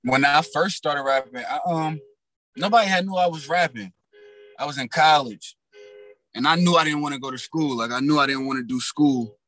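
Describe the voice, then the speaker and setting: A raspy young man telling his story in loose, slang-heavy casual talk as a rapper guest. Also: a little high, moderate pace, fairly bright tone, rough recording.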